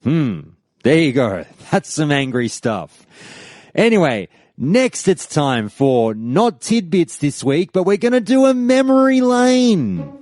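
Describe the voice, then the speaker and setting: A man hosting a podcast and giving his opinion in a measured, deliberate monologue with firm emphasis. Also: on the high side, big pitch swings, darkish tone, no room sound. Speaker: podcast host.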